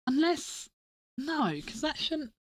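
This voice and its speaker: A woman's voice: sits high, very animated, slow. A woman streamer chatting casually to her viewers, stuffy and nasal from a cold, rambling and giggling often. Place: home desk. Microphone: desk mic.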